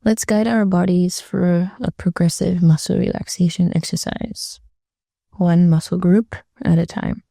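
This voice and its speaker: A woman with a very dark, mellow voice that sits a little low and often settles into creak. She guides a meditation gently and soothingly, with unhurried phrases and long pauses. The sound is dry and close, with no sense of room. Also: little pitch movement, no room sound.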